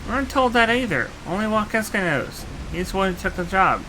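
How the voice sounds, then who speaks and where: A male actor playing a rough, cowardly bandit henchman in a fantasy game, coarse and blustering. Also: sits high, sing-song, fairly quick, pushed and bright, slight background noise, exaggerated voice, low-quality recording. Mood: frightened. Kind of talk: fantasy video game voice acting.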